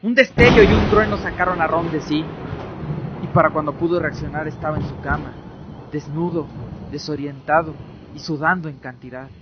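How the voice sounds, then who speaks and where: A man's voice: a little high, normal speed, heavy background noise. A man narrating a scripted fantasy radio drama as a dramatic storyteller. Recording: dull lo-fi with music underneath. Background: music.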